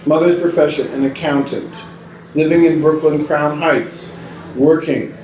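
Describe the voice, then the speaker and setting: A man's voice: on the high side, fairly even intonation, muffled recording, slight background noise. Man reading at a distance, roomy. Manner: measured, unhurried reading with halting restarts. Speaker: performer reading interview notes. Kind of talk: reading recorded interview answers aloud. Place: small indoor gallery room with hanging paper strips. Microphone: far camera mic. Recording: roomy distant sound with faint ambience.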